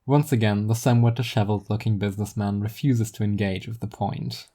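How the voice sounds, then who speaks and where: A narrator delivers first-person fiction in a dark, mellow voice of medium pitch. The reading is scripted, wry and literary in manner. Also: steady tone, fairly quick. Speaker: narrator and storyteller.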